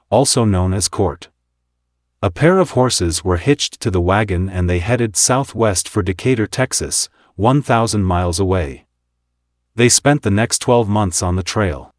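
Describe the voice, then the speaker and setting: Low-voiced man telling history. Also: darkish tone, dry recording, clean studio sound. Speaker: local history narrator. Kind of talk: scripted storytelling narration.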